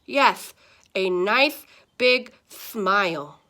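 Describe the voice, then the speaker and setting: Woman playing storybook grandpa, elderly, toothless mumble, dramatic, indignant, suspicious, drawn-out forceful delivery. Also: mid-range voice, lively intonation, full character voice. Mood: suspicious, angry. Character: distraught old grandfather missing his false teeth, indignant.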